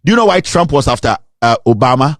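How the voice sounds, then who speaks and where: A man's voice: medium pitch, sing-song, quick pace, no room sound, clean studio sound. A man with a Ghanaian accent giving an impassioned political monologue, indignant and emphatic, repeating rhetorical questions. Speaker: political commentator.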